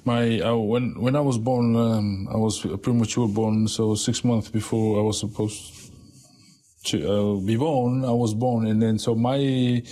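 A man with a Fijian accent talks with a mellow, darker tone. He speaks in a hesitant, halting way, full of fillers and restarts. He is a guest in a casual radio interview. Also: on the low side, steady tone. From Fiji.

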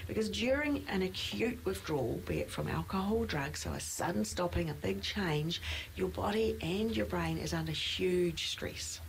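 A woman's voice: on the low side, animated, normal speed, vocal fry, a little hiss or hum, poor audio. A middle-aged woman, a nurse and clinical manager, co-hosting a casual podcast and explaining things in an easy, chatty way.

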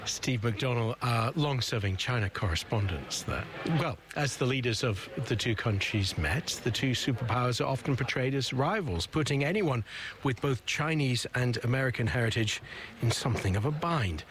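A man presenting a news segment and interviewing guests, measured and formal. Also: mid-range voice, expressive, fairly bright tone. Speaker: radio interviewer.

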